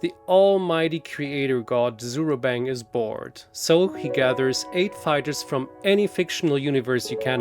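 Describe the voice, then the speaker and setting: Animated man narrating a dramatic story. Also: mid-range voice. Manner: theatrical, wry. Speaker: narrator and author.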